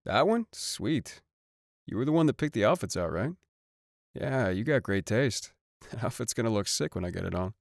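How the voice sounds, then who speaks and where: Man playing a low-voiced flirty band idol. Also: sing-song, moderate pace, vocal fry, no room sound, studio quality. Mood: easygoing, pleased. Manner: playful, suggestive, drawling asides. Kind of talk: scripted romantic audio roleplay.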